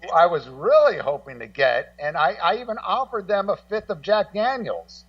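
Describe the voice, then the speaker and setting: Animated, higher-voiced man, muffled sound. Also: no room sound. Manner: rambling, halting, repeats words, acts out quotes. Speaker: guest co-host and music documentary maker. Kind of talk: casual radio talk, telling anecdotes. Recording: muffled, slightly distant voice feed.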